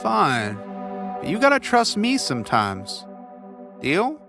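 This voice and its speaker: Man acting scrappy storybook adventurer, raised pitch, unhurried, informal, wary, music underneath. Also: wildly swooping pitch, vocal fry, heavily acted. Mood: wary, bargaining. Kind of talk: storybook character voice in audio fiction.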